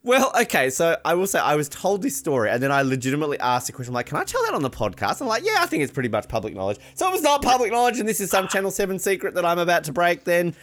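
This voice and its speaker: A man's voice: high voice, sing-song, very fast, few pauses, a bit bright. A man co-hosting a podcast, chatting casually and joking, swearing freely. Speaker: podcast co-host. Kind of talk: casual comedic chat.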